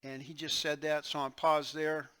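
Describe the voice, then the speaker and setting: A man giving a casual flight simulator tutorial, explaining in a halting, improvised way. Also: level tone. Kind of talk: casual tutorial demonstration with radio phraseology. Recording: loud engine-like rumble behind the voice.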